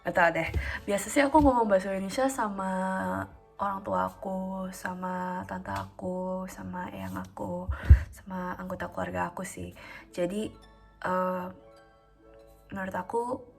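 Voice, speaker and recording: A woman of twenty-one talking casually in a home vlog, calm and chatty with frequent fillers. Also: medium pitch, unhurried, gravelly, pauses often, faint music behind. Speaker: vlogger.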